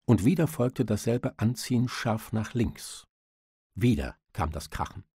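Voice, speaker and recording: A man's voice: lowish voice, lively intonation, a little slow, warm tone, breathy edge, no room sound, clean studio sound. A man reading a literary audiobook as narrator, in a dramatic storytelling style.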